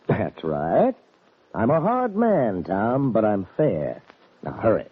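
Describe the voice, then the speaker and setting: Husky scheming cowboy villain, swooping pitch. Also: male voice, wildly swooping pitch, slow pace, exaggerated voice. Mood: smooth, persuasive. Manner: folksy drawling cowboy talk, falsely fair. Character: hard, scheming Western villain, a fatherly-sounding ranch boss. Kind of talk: old-time Western radio drama acting. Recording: tinny, band-limited old radio recording.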